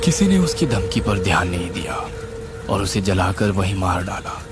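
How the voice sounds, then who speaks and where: A man's voice: low voice, very animated, moderate pace. A man narrating a scripted horror story in a suspenseful, theatrical way. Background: music.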